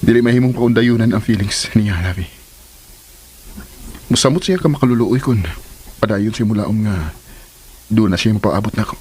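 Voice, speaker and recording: A man's voice: lowish voice, normal speed, pauses often, darkish tone, husky. An actor playing a devoted, playful and tender male lover in a radio drama. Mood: earnest.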